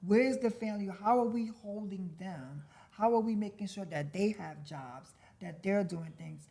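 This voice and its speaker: A woman co-hosting a podcast, speaking in a deliberate, reflective way and posing rhetorical questions. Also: medium pitch, vocal fry, warm tone. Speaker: podcast co-host. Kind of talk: casual chat.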